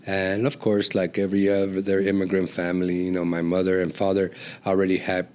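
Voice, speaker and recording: A man's voice: sits low, monotone, fairly quick, darkish tone, slight background noise. A man, telling stories casually and conversationally as a podcast guest. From born in El Paso, raised in Juarez and Los Angeles. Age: 40s. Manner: conversational, peppered with "you know". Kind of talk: casual storytelling in an interview.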